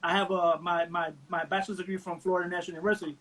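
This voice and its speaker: High-voiced emphatic Cuban-born man. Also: little pitch movement, very fast, fairly bright tone, low-quality recording. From Cuba. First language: English. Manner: emphatic, repeats words when starting sentences. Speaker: Cuban baseball blogger. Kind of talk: casual chat on a livestream.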